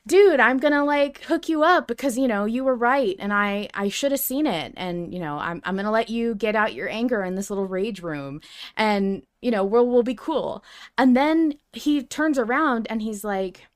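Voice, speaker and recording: A woman's voice: a little high, expressive, normal speed, dry recording. Woman cohosting a fan podcast, clean resonant voice, pretty dry recording, gushes and really stresses her points. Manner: effusive, emphatic. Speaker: podcast co-host. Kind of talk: casual fan discussion, analytical chat.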